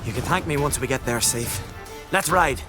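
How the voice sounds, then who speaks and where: An actor playing a shy, earnest young island man in a video game, flustered and halting. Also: mid-range voice, over loud music, natural voice. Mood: urgent. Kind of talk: video game dialogue acting.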